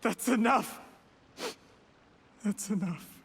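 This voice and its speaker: A man's voice: high voice, slow, creaky, fairly bright tone, big-room sound, a little hiss or hum, full character voice. A man playing a young, insecure, cornered betrayer in a video game. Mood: distraught, protesting. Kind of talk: scripted video game voice acting.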